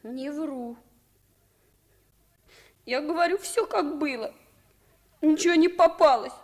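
An earnest young boy in an old radio play, talking high and slow. He keeps insisting and pleading to be believed. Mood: insistent. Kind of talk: 1950s radio play acting.

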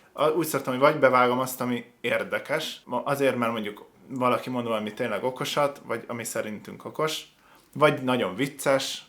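Halting man, casual commentator. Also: medium pitch, fairly bright tone. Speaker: host of a video reaction show.

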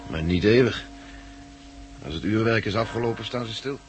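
A man's voice: lowish voice, normal speed, lightly acted. An actor playing a stoic, loyal, down-to-earth male survivor in a 1960s Dutch radio drama. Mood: calm, resigned. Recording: noisy 1969 radio drama recording.

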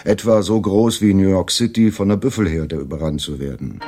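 An actor playing a wry, sardonic man in a crime caper in a German radio drama, with a husky voice. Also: low-pitched, fairly quick. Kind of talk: 1980s German radio drama acting and first-person narration.

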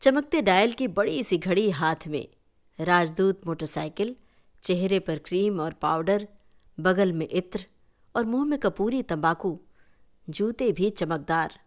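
A woman narrating a short story in an expressive storytelling style. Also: fairly low pitch, measured pace. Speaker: story reader.

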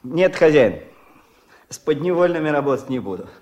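An actor playing a proud, blunt itinerant builder in a radio play, speaking colloquially and with self-assurance. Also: male voice, on the high side, sing-song, normal speed, darkish tone. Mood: confident, blunt. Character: proud, stubborn, plain-spoken itinerant dam builder, working man. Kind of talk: Soviet radio play acting.

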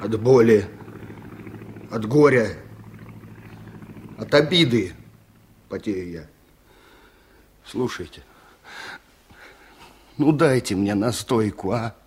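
A man's voice: lowish voice, animated, slow, pauses often, a little mellow. An actor in a Soviet radio play, playing a nervous, thin village foreman who complains with agitated sarcasm. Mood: anguished.

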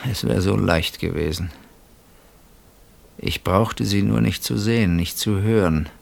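Radio drama role: betrayed older husband, very low voice, sardonic, brooding, wounded. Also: male voice, unhurried, creaky, exaggerated voice. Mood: brooding confession. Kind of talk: German radio play acting.

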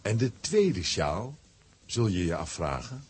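A man's voice: sits low, very animated, slow pace, a little mellow, breathy edge, exaggerated voice. A man narrating a children's adventure radio play as storyteller. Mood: storytelling. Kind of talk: scripted dramatic narration for children.

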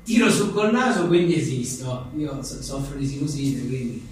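A man's voice: mid-range voice, expressive, moderate pace. A man, an author reading his comic aphorisms aloud with wry, self-deprecating, chatty asides. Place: echoing venue at a book presentation. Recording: very echoey room, distant mic, faint noise.

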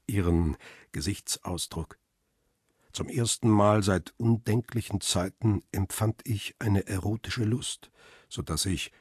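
A world-weary photographer in a radio crime drama. His voice sits very low and is recorded close and dry. He tells his own story in a reflective, wry way. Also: slightly rough, no echo. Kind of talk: first-person radio drama narration with dialogue.

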